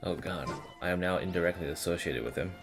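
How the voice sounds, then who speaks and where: A man's voice: low voice, quick pace. A man streaming a video game in a casual, informal way, thinking aloud and swearing now and then. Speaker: game livestreamer. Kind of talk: casual stream chat with gameplay commentary. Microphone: streaming microphone. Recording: music playing behind the voice.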